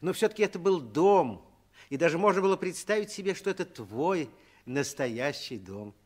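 A man's voice: a little high, very animated, normal speed, darkish tone. A man narrating a children's story as scripted narration in a 1960s radio play.

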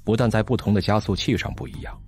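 A man's voice: lowish voice, steady tone, fast talker, a little mellow, faint music behind, close to an everyday voice. An actor playing a bitter, brilliant, hard-drinking physicist in an audio drama, sardonic and theatrical as he lectures. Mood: grave, explaining.

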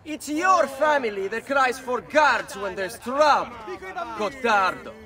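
An actor playing a sneering, haughty young Italian noble rival with an Italian accent in a video game. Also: male voice, extremely high pitch, expressive, a little slow, pushed and bright, recorded from a distance, slight background noise, exaggerated voice. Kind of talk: video game cutscene acting.

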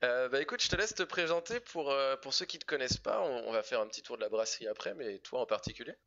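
A man's voice: medium pitch, lively intonation, quick pace, creaky, pushed and bright. A man in his thirties hosting a casual live interview, informal and joking in the way he talks. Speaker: live stream host, interviewer. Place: indoor room, video call. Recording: dull, lo-fi video call audio.

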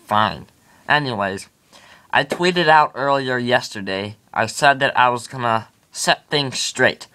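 A man's voice: medium pitch, expressive, unhurried, sharp tone, a little hiss or hum. A man voicing a boastful male toy cowboy who calls himself the Wood and speaks of himself in the third person. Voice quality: cocky, swaggering, talks about himself in third person. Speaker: channel host. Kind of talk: toy comedy skit.

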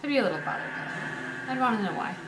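Fast-talking woman in an echoey room. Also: a little high, lively intonation, background noise. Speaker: reaction show co-host. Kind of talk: casual video game reaction commentary. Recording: roomy echo, game audio behind.

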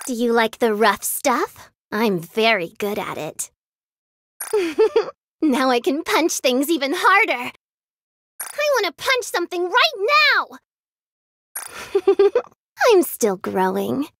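A woman's voice: high voice, no room sound, studio quality, full character voice. An actress voicing a cute, playful young girl soldier with a sadistic streak in an anime-style mobile game, girlish and sweetly teasing. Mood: excited. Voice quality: girlish, cutesy.

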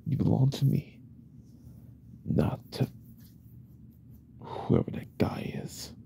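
A man playing a jealous, unhinged yandere boyfriend in an ASMR roleplay, speaking softly and often in whispers, intense and controlling. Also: takes it slowly, slight background noise, lightly acted. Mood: possessive, menacing. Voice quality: soft, breathy, often whispered.

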